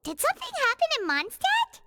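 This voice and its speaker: Paimon, squeaky chatty fairy. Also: female voice, huge pitch swings, brisk, heavy background noise, full character voice. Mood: concerned, curious. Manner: squeaky, childlike, refers to self in third person. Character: Paimon, tiny chatty floating fairy girl, curious, excitable. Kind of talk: video game cutscene voice acting.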